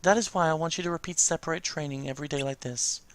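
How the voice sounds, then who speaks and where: A man voicing a solemn mentor who speaks gravely and formally in a video game story. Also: fairly high pitch, steady tone, fast talker, no echo, natural voice. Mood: solemn foreboding.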